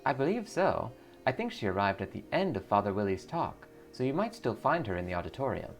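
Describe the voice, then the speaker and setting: A man's voice: on the low side, sing-song, brisk. A man voicing a perky young female cult receptionist, earnest and eager, in video game dialogue. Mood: earnest, welcoming. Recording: music behind the voice.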